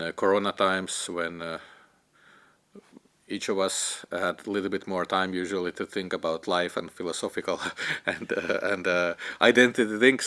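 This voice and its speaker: A young man who grew up in Finland with Ukrainian as his first language, speaking haltingly in a documentary interview with many fillers and restarts as he searches for words. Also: deep voice, a bit bright, clean tone. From Ukraine, raised in Finland. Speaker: interviewee, cellist and filmmaker.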